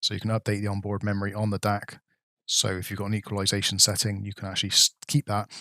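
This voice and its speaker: A man's voice: on the low side, monotone, quick pace, pushed and bright. Casual British man reviewing tech. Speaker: product review vlogger.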